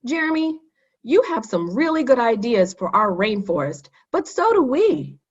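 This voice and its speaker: A woman's voice: a little high, sing-song, moderate pace, slightly rough, dull audio, pitched above the performer's own voice. A woman voicing a keen, earnest schoolgirl who explains and speaks up in a children's storybook. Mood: firm, kind.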